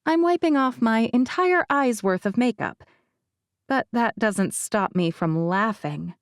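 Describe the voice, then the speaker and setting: A woman's voice: on the high side, animated, measured pace, no echo. Female narrator for first-person novel, scripted read, dry studio sound. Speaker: audiobook narrator.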